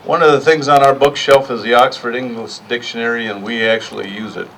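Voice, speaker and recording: A man's voice: medium pitch, fairly even intonation, brisk, a bit bright. Middle-aged man speaking at a rally, noisy distant recording. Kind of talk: public rally speech, partly improvised.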